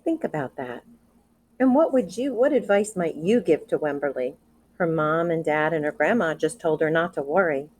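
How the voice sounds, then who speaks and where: Woman, low-pitched, expressive storyteller for young listeners, pausing for commentary and questions. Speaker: educator reading a picture book aloud. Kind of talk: read-aloud storytelling with commentary for children.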